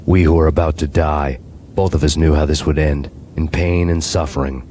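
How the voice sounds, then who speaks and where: An actor playing a world-weary, vengeful noir antihero, narrating a video game in a deadpan, fatalistic, hard-boiled way. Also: male voice, rumbling low, moderate pace, a little hiss or hum. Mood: grim, fatalistic.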